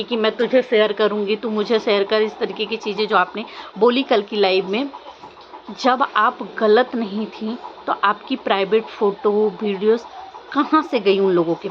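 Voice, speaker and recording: A young woman gives casual opinion commentary to viewers, insistent and questioning, often repeating her phrases. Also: a little high. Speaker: vlogger. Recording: muffled lo-fi audio, faint background noise.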